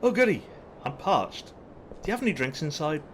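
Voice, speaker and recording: A man's voice: a little high, big pitch swings, moderate pace, noisy background. An actor playing a smug, cocky male outlaw in an audio drama, teasing and self-satisfied.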